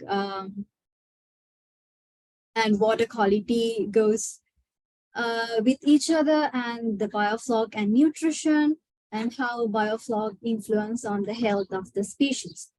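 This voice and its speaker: A woman's voice: fairly high pitch, a little mellow, no echo, rough recording. A young woman from Sri Lanka, a PhD student, giving a webinar talk with frequent hesitations and fillers. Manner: hesitant, many filler sounds. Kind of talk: webinar presentation.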